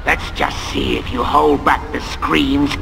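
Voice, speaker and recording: An actor playing a sadistic, taunting war machine villain in a video game, gravelly and theatrically gloating. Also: male voice, mid-range voice, slow pace, music in the background. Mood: gloating, menacing.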